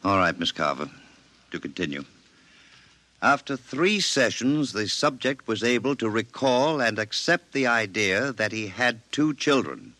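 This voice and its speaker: An authoritative psychiatrist in a 1950s radio drama. His low voice is bright and firm, with lively inflection as he dictates and probes in a measured, clinical way. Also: heavily acted. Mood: clinical, formal. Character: authoritative male psychiatrist dictating a case report, clinical and probing.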